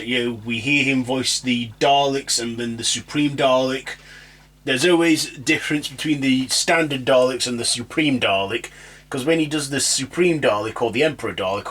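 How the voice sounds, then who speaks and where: A man's voice: medium pitch, fairly bright tone. A man giving a casual, unscripted fan review as a channel host, rambling and repeating himself with enthusiasm.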